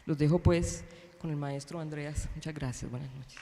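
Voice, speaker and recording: Higher-voiced man introducing in a hall. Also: steady tone, normal speed, gravelly, heavy background noise. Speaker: event introducer. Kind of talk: formal introduction speech. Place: auditorium at a public lecture. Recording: reverberant hall.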